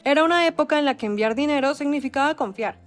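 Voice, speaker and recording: A woman narrating a documentary script in a measured, dramatic storytelling cadence. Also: high voice.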